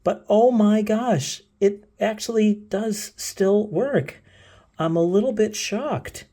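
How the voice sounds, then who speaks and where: A man's voice: high-pitched, slow, warm tone. A man hosting a casual, unscripted gadget demo, hesitating and thinking aloud as he fiddles. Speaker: gadget video host.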